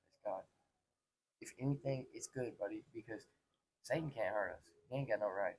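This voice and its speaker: A man's voice: lowish voice, normal speed, darkish tone, a little hiss or hum, rough recording. A man in his twenties telling personal stories in casual, slangy talk, earnest and repeating phrases for emphasis. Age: 20s. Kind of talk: casual conversational storytelling.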